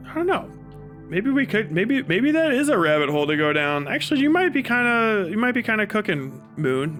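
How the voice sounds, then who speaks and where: A man hosts a livestream in casual, reactive chat. His voice sits a little high for a man, and his talk is halting, slangy and full of swearing. Faint music is present. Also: a bit bright. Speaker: livestream host.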